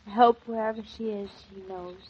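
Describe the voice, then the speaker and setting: An actress in a 1940s radio drama playing a playful, tender young newlywed wife, with a bright, teasing and dreamy way of talking on an old transcription. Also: female voice, mid-range voice, fairly even intonation, a little fast, husky, lo-fi sound, lightly acted. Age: young adult.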